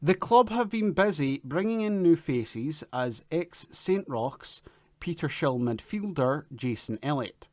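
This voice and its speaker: A man with a mid-pitched voice reads printed announcements and articles aloud. He works from a script as a volunteer newspaper reader, in a dry recording made at home. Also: darkish tone, no room sound.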